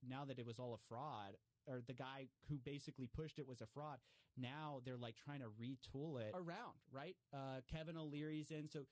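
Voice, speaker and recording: A man's voice: medium pitch, no room sound. A man talking casually as a podcast guest, explaining and telling stories in a conversational way. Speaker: podcast guest, investigative journalist.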